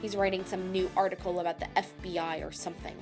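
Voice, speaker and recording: Woman as fiery female chef, gruff, sarcastic, food-word curses, audio drama witness, prominent background music. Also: on the low side, flat delivery, quick pace, a little mellow, natural voice. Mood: irritated. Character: brash, hot-tempered woman chef testifying as witness. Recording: music behind the voice.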